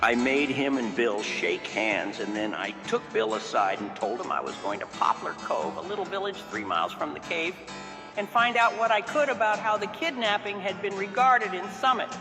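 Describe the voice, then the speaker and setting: A man's voice: a little high, expressive, normal speed, creaky. A man narrating a first-person tale from a script in a wry, folksy, theatrical manner. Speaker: story narrator. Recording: long reverb, music behind the voice. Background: music.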